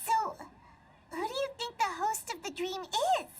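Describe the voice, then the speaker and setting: A woman voicing a small, earnest, excitable childlike fairy companion in a video game cutscene. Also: extremely high pitch, normal speed, distant mic, a little hiss or hum. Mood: pondering. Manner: refers to self in third person, childlike. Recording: game audio with loud music behind.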